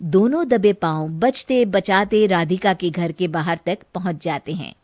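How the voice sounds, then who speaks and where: A woman's voice: on the low side, measured pace, darkish tone. A woman reading a scripted children's story as its narrator.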